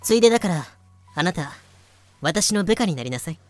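A woman playing a cool, intimidating pirate first mate, deadpan and curt, in an anime-style fan audio drama. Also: on the low side, fairly quick, no echo, soft background music, natural voice. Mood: commanding.

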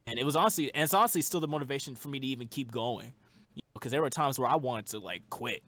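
A man's voice: mid-range voice, expressive, fast, fairly bright tone. A young man who makes music, a guest in a casual interview, talking earnestly and rambling with lots of fillers.

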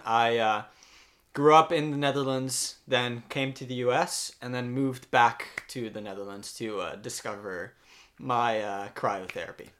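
A man's voice: mid-range voice, a little slow, a bit bright, close to an everyday voice. A man playing an earnest Dutch cold-therapy guide. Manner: hesitant, many ums and uhs. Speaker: guest.

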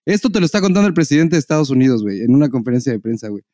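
A man's voice: medium pitch, sing-song, racing, mellow, no room sound, studio quality. A man with a Mexican accent hosting a podcast in casual, unscripted banter full of slang and swearing. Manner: slangy, profane. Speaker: podcast host.

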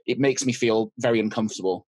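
A man's voice: lowish voice, fast talker, darkish tone, dry recording. A man with an English accent chatting casually as a podcast guest, hesitant and often restarting his thoughts. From England. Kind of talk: casual interview chat.

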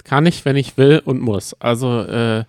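A man's voice: medium pitch, measured pace, no room sound, studio quality. A man, chatting casually as a podcast co-host about reality TV.

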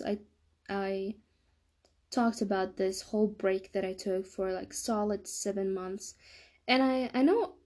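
A woman's voice: medium pitch, warm tone. A young woman talking casually in a solo podcast monologue, hesitant and often stumbling and rephrasing. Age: young adult. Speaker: podcast host.

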